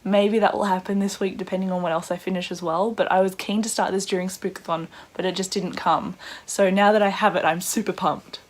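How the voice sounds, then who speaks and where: Croaky woman vlogging about her books, chatty and excitable, medium pitch. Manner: chatty, excitable, quick asides. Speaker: book vlogger. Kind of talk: casual vlog chat.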